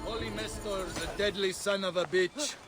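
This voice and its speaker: High-pitched boastful fight promoter, acted by a man. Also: steady tone, normal speed, music in the background, close to an everyday voice. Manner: boastful, coarse. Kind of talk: video game cutscene acting.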